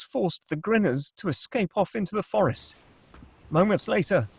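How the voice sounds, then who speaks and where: A man's voice: sits high, gravelly, husky. A man reading scripted screenplay action and scene headings as the narrator of a table read. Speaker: narrator reading stage directions.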